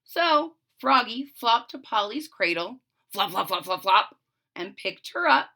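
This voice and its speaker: Bright, animated woman reading a children's story. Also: medium pitch, unhurried. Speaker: storybook reader. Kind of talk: children's read-aloud narration with explanations.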